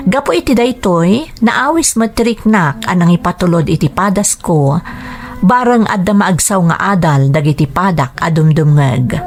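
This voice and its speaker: A woman's voice: on the low side, darkish tone. A woman with an Ilocano accent reading greetings and letters as a scripted drama narrator. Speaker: narrator reading listener shout-outs and letters.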